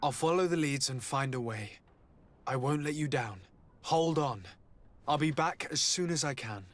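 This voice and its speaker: A man acting a dutiful young nobleman hero in a video game, calm, measured and sincere. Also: fairly high pitch. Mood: earnest, reassuring.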